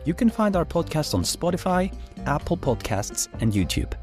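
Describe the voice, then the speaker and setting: A young man hosting a podcast, upbeat and quick with short affirmations as he interviews his guest. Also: a little high, big pitch swings, a little mellow, faint music behind. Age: young adult. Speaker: podcast host.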